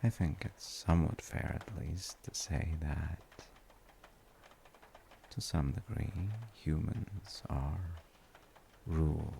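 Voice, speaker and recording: Very deep, slow, gravelly dad played by a man. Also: a little hiss or hum, heavily acted. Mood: soothing, musing. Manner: unhurried, musing, halting with soft trailing phrases. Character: gentle, musing father comforting his tired grown child. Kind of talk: soft, rambling sleep-aid audio roleplay.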